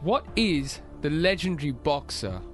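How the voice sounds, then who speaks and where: A man with a hoarse, worn voice running a sports quiz on a radio show, playful and teasing. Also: fairly high pitch, sing-song, unhurried, soft background music. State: hoarse, lost voice. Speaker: quizmaster. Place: radio studio.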